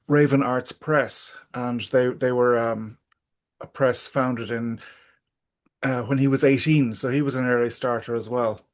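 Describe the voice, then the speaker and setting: A middle-aged or older man, talking casually and reflectively as an interview guest, pausing often to think aloud. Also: mid-range voice.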